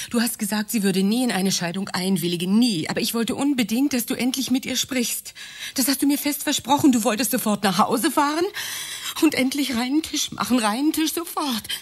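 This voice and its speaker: A woman's voice: fairly high pitch, breathy edge. An actress playing an anxious, insistent woman who doubts her lover, in a radio drama. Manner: pleading, insistent, worried. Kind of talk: German radio drama acting.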